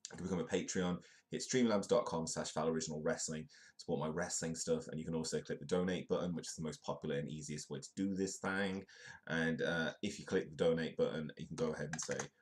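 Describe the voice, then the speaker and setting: Stuffy-nosed British man with a very deep voice. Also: fairly even intonation, fast talker. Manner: chatty, joking, reads news aloud. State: congested, stuffy nose. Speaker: host. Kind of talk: comedic live news show.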